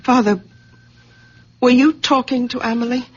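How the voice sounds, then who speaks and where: Husky, anxious middle-aged daughter. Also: female voice, on the high side, fairly even intonation, a little slow. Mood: careful probing. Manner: careful, hesitant, anxious. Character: concerned middle-aged daughter of a very old man. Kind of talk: 1970s radio drama acting. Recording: dull vintage radio recording.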